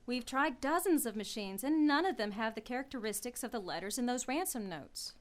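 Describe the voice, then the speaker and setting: Margot Lane is a faithful, anxious assistant, as a woman voices her in an old-time radio drama. Her fairly high voice sounds cautious and worried, often pleading with her partner. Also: animated, fairly quick, natural voice. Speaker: radio actress.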